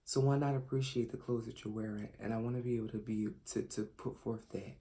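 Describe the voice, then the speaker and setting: A man in his late twenties from Philadelphia, speaking earnestly in a self-recorded audition pitch as a fashion designer. He hesitates a lot, with many fillers and restarts. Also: steady tone, brisk, dark tone, slight background noise. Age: late 20s. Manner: earnest, self-described shy and reserved.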